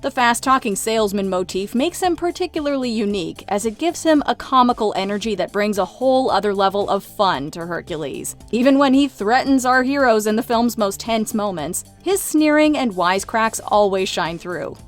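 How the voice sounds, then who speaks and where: A woman's voice: mid-range voice, animated, moderate pace, hardly any pauses, soft background music. A woman reading scripted narration as the presenter of a countdown list.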